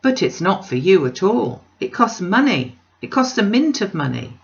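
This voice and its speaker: A woman's voice: mid-range voice, big pitch swings, normal speed, gravelly, dull audio. A woman voicing a pompous, self-satisfied wealthy man, emphatic and dismissive, in a storybook reading. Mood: smug.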